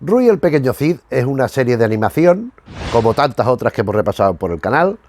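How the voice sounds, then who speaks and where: A man's voice: mid-range voice, big pitch swings, fast talker, darkish tone, soft background music. A man from giving casual, rambling commentary as a host, often searching for words and rewording as he goes. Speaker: YouTube host reviewing retro cartoons.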